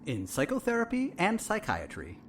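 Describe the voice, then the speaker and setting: A man's voice: high-pitched, wildly swooping pitch, moderate pace, a little hiss or hum. An actor playing a smug, evasive male therapist with an arch, formal manner in an audio drama. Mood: smug, wry.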